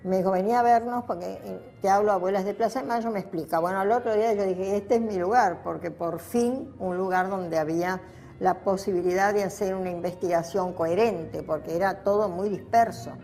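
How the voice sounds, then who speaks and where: A woman's voice: mid-range voice, a little fast, dark tone. An older woman with an Argentine accent gives a personal testimony, recollecting in a reflective, spontaneous way with emphatic repetition. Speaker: interviewee giving personal testimony.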